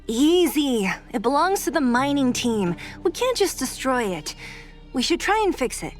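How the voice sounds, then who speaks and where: Tough, highish-voiced young fighter. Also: female voice, big pitch swings, unhurried. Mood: confident, frustrated. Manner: blunt, wry, self-assured. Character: tough, blunt, confident young woman fighter. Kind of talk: video game cutscene acting. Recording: light music behind the voice.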